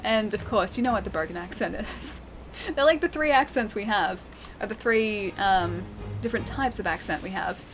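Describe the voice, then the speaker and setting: Australian woman streamer, mid-pitched, chatty and giggly, game music underneath. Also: normal speed, low-quality recording. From Western Australia. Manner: chatty, laughs often, reads and answers chat. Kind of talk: casual gameplay chat with viewers.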